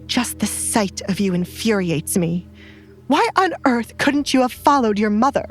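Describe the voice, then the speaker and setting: A woman's voice: a little high, animated, unhurried, soft background music. A woman voicing a haughty, middle-aged noblewoman, a cruel stepmother who scolds with cutting contempt in an audio drama. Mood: cold contempt.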